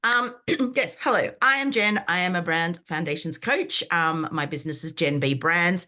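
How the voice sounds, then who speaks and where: A woman in her fifties, croaky from a sinus infection, chatting enthusiastically as a guest on a video call, with lots of fillers and restarts. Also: fairly low pitch, moderate pace, pushed and bright. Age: 50s. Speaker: brand foundations coach. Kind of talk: guest on an online group call.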